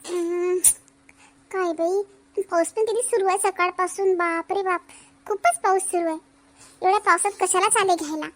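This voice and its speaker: Very high-pitched young daughter-in-law played by a woman. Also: fairly even intonation, normal speed, fairly bright tone. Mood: worried. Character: young married daughter-in-law, polite, worried, waiting. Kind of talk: Marathi cartoon family comedy dubbing.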